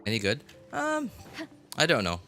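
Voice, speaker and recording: A man's voice: medium pitch, wildly swooping pitch, normal speed, bright, forceful tone, soft background music. A man live streaming a game, chatting casually with viewers and often hesitantly repeating himself.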